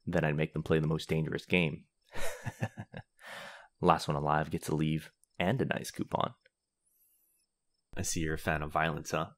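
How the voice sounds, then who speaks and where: A man's voice: rumbling low, level tone, measured pace, long pauses, fairly bright tone, exaggerated voice. A man playing a grumpy, easily flustered vampire in a comedy audio roleplay, sarcastic and huffy in manner. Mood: dry, relaxed. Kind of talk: comedy ASMR roleplay acting.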